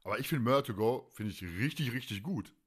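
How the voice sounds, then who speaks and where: Male podcast host, 40s. Animated intonation, enthusiastic, colloquial, chatty delivery. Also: medium pitch, fast, husky. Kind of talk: casual podcast chat.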